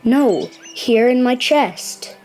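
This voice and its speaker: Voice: mid-range voice, animated, extremely slow, darkish tone. A performer playing a cross, wistful young boy, telling his story in a confiding, reflective way in a children's audio drama. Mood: frozen, faint. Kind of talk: first-person narration in children's audio drama. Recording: music clearly behind the voice.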